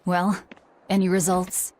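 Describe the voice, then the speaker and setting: A woman's voice: lowish voice, completely flat delivery, measured pace, darkish tone. A woman playing a blunt, impatient fighter with a curt manner in a video game cutscene. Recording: game music behind voice.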